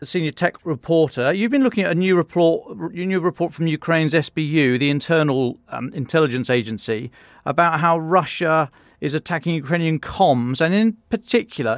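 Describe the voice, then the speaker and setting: A man's voice: fairly high pitch, no echo, studio quality. A man hosting a news podcast in a courteous, conversational way. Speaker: podcast host.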